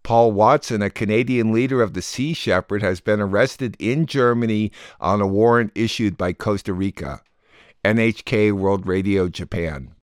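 A man's voice: fairly low pitch, normal speed, smooth, no echo, studio quality. A man reading a scripted radio news bulletin.

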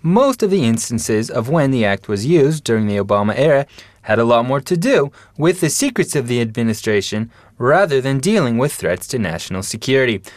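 A male newsreader delivering a radio news report in a steady, formal way. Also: medium pitch, brisk, dry recording.

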